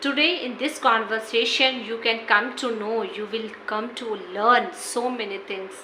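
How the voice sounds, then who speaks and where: Woman, channel host, upbeat, bright tone, echoey room, faint background noise. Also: fairly high pitch, unhurried. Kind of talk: video introduction.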